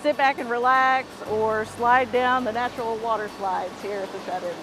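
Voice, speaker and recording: Higher-pitched female park guide over music. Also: steady tone, moderate pace, rough recording. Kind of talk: informal explanatory guiding. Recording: music behind on-site speech.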